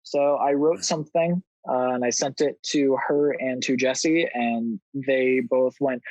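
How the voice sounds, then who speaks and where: A young man of twenty talks casually as a podcast guest. His voice sits at a middle pitch, and he is lightly self-deprecating as he tells his story. The sound is dry, with little room to it. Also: steady tone, measured pace, a little mellow, no room sound, rough recording. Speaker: podcast guest, audio drama writer. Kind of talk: casual chat, anecdote.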